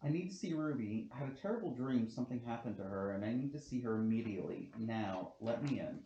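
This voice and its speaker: Man acting an insistent psychic neighbor, mellow, echoey. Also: mid-range voice, animated, quick pace, close to an everyday voice. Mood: urgent. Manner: insistent, grave. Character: insistent male neighbor, suspicious psychic spiritual guide. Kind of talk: table read acting. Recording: muffled, roomy echo, faint noise.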